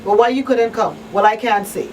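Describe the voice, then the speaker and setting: A woman's voice: a little high, fairly quick. A woman speaking conversationally as a talk show panelist, making her points emphatically with rhetorical questions. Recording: distant mic, faint background noise.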